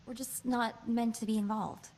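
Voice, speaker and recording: A woman voicing a quick-witted, sardonic young female hacker in a space video game, chatty in manner. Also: on the high side, fairly even intonation, a little mellow, lightly acted. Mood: reluctant.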